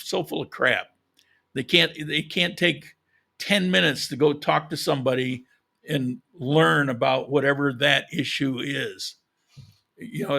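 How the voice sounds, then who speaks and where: A man's voice: on the high side, vocal fry, a bit bright. A man talking casually to his viewers, opinionated and rambling, with frequent restarts, short of breath and losing his voice. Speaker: vlogger. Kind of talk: casual chat.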